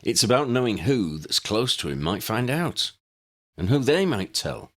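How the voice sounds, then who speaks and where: A man's voice: mid-range voice, big pitch swings, normal speed, voice pushed up. Man as a blunt, practical wizard sidekick. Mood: advising. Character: practical, blunt, wry adult male sidekick.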